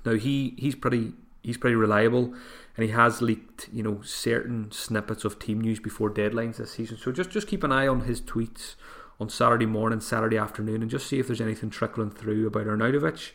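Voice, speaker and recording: A man hosting a solo podcast, thinking aloud with frequent restarts, his voice going hoarse. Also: on the low side, steady tone, fairly quick, a bit bright. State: voice getting hoarse. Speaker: podcast host. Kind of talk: solo podcast advice talk.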